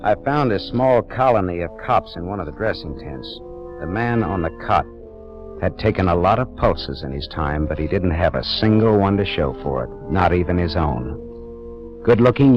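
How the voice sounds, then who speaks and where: Brief: wisecracking gumshoe, low and dry, vintage radio with music. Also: male voice, animated, moderate pace. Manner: dry, wry, sardonic delivery. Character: hard-boiled wisecracking private detective, man. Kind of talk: 1940s radio drama, first-person narration. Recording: dull old radio broadcast with music bed.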